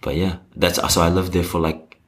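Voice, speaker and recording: A man's voice: deep voice, fairly even intonation, moderate pace, fairly bright tone. A young man from Australia and New Zealand in a casual chat as a podcast guest, slangy and laid-back, with constant filler words. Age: young adult. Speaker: podcast guest, hip-hop dancer.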